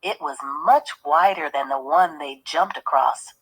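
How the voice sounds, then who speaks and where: A woman reading a children's story aloud in an expressive narration style. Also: low voice, a little slow, slightly rough, poor audio. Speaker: storybook reader.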